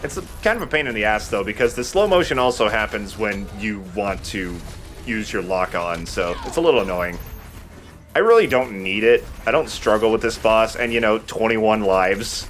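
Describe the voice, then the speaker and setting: A man's voice: lowish voice, animated, normal speed. A man giving casual, explanatory let's play commentary as he plays, with some mild swearing. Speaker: let's play host and player. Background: video game music.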